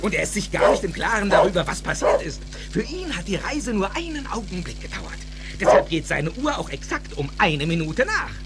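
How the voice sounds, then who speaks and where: Excitable old scientist, high voice swooping all over, theatrical radio play, music behind. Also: male voice, fairly quick. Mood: proud, explaining. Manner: theatrical, emphatic, exclamatory, precise technical phrasing. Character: excitable eccentric older male scientist and inventor. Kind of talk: German audio drama dialogue.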